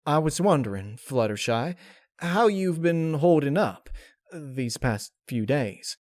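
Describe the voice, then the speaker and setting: A man's voice: on the high side, lively intonation, takes it slowly, a little mellow, no room sound, pitched above the performer's own voice. Man as a country pony mare, folksy, guarded, protective, grim edge, storybook character, close dry sound. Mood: uneasy probing. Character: uneasy plain-spoken country mare, protective, grim underneath. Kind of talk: dramatic fan-fiction character reading.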